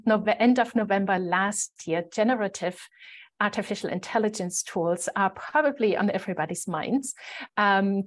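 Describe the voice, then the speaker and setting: German-accented woman presenting an explanatory talk. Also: mid-range voice. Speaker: conference presenter.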